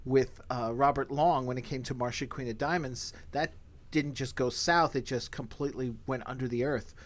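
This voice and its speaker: A man's voice: mid-range voice, steady tone, normal speed. A man hosting a podcast, talking casually as he recaps and discusses. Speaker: podcast host.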